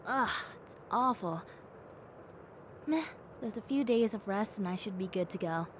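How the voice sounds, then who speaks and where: A woman voicing a gentle, cheerful young bat girl in a soft, playful and sincere audio roleplay. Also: mid-range voice, animated, unhurried, slight background noise, natural voice. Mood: mildly pained. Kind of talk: audio roleplay addressed to the listener.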